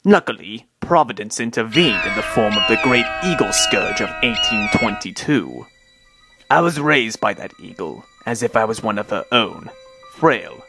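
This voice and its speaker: A man's voice: mid-range voice, pushed and bright, heavily acted. A man voicing a cold, cunning old man in a comic dub, precise and pompous with open contempt. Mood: solemn recounting. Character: cold, cunning old man, scornful long-lost brother. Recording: voice over background music.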